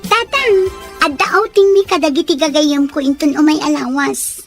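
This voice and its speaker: A woman's voice: high voice, expressive, moderate pace, a bit bright, soft background music. A young woman playing a spoiled, whiny teenage daughter in a radio drama, talking in a pleading, petulant way.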